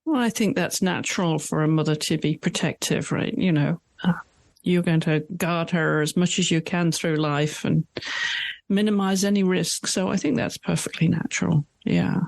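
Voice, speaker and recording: A woman's voice: on the low side, steady tone, normal speed, vocal fry, a little mellow, no room sound. A woman hosting a podcast interview, asking questions and giving supportive, reassuring replies. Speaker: podcast host.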